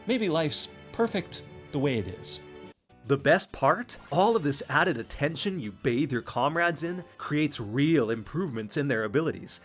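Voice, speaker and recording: A man's voice: medium pitch, fairly bright tone. A man reading a scripted video essay about a game, enthusiastic with casual, wry asides. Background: background music.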